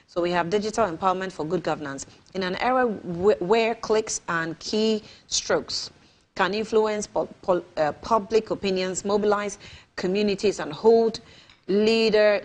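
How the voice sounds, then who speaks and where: Woman host reading a statement. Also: on the low side. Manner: reads with stumbles and self-corrections. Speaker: morning show host. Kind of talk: reading a statement aloud, then chatting. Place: TV studio.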